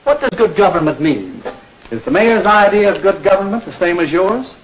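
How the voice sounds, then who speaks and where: An actor playing a patient campaign mentor, explaining and probing with questions in a 1940s educational film. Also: male voice, high voice, big pitch swings, moderate pace, muffled recording. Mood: instructive. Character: patient campaign speechwriter coaching a young learner. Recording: noisy old 1940s film soundtrack.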